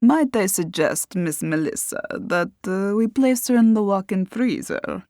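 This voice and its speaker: A woman's voice: mid-range voice, expressive, unhurried, a little mellow, dry recording, studio quality. A woman voicing a deferential male butler in an audiobook, formal, tactful and hesitant. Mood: politely hesitant.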